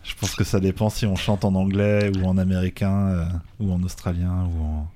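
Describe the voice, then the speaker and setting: A young man's voice sits very low, his answers halting and full of fillers as he explains his band's work. He talks casually, restarting his sentences as he searches for words. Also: little pitch movement, warm tone. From France. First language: English. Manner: hesitant, many fillers and restarts. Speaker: band singer, interview guest. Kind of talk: casual radio interview.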